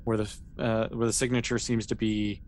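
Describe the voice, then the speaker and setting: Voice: mid-range voice, little pitch movement, moderate pace, a little mellow, a little hiss or hum. A game master narrating a tabletop game off the cuff, explaining things in a loose, conversational way full of asides.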